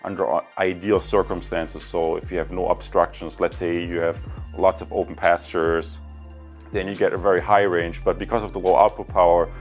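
Low-pitched chatty man reviewing products. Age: 30s. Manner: chatty, rambling, digressive. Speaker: product reviewer and channel host. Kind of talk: casual unscripted review talk to camera. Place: indoors in a home room.

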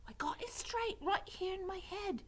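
A woman's voice: very high-pitched, wildly swooping pitch, measured pace, a bit bright, pitched above the performer's own voice, exaggerated voice. A woman voicing a dim, eager young serving girl from a storybook, blunt and uneducated in her speech. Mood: hushed, eager. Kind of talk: storybook character voice.

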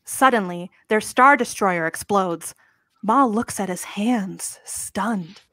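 A woman's voice: mid-range voice, slow, pushed and bright, no echo. A woman in her thirties reading stage directions as scripted narration for a live table read. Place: home room, indoors, on a video call.

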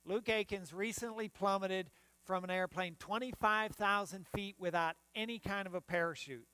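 An older man delivers a sermon from the front of a church, his voice pitched fairly high for a man. He talks on a close mic with a dry, clean sound, and he often restarts his phrases. A faint mains hum sits underneath. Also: normal speed, sharp tone, smooth, dry recording. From United States. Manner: preaching while standing and gesturing, with frequent restarts. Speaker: pastor. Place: church sanctuary in front of the altar.

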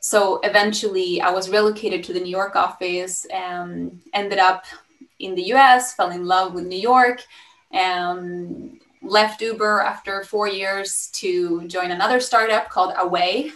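A woman's voice: mid-range voice, fairly even intonation, unhurried, a bit bright. Upbeat Swedish-accented woman on a video call. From Sweden. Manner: upbeat, fluent, with frequent ums. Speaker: startup founder pitching. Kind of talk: business pitch on a video call. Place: home room, indoors. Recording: roomy and slightly distant.

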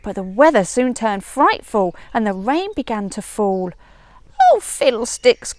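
A middle-aged woman reading a children's storybook aloud outdoors in an expressive, measured teacher's way. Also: mid-range voice, dry recording. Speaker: early years teacher. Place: outdoors in a leafy woodland garden.

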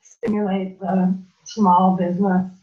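A woman's voice: mid-range voice, level tone, slow. A young Canadian woman, a musician, chatting casually in an interview over a video call. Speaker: band musician being interviewed. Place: room at home. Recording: muffled, lo-fi video call audio.

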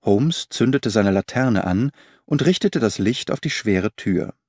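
A man narrating a classic detective story in the first person, measured and expressive. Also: lowish voice, no room sound, studio quality. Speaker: audiobook narrator. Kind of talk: scripted first-person narration of a German audiobook.

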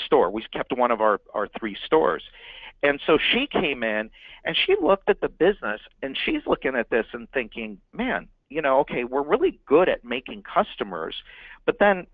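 A man's voice: medium pitch, no room sound, phone-quality sound. Man teaching business marketing, emphatic and repetitive. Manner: emphatic, repeats words for stress. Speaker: marketing consultant. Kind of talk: business training talk.

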